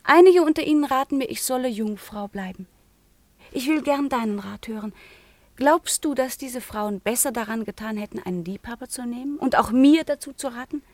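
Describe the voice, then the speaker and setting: Actress doing intense proud teen convent girl, highish voice, declaiming then hushed, old-school radio drama, bone dry sound. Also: moderate pace, no room sound, close to an everyday voice. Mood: pressing, solemn. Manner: intense, declamatory, often dropping to a hushed whisper. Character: proud, melancholy eighteen-year-old convent-schooled girl, passionate and bitter. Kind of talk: classical German radio drama acting.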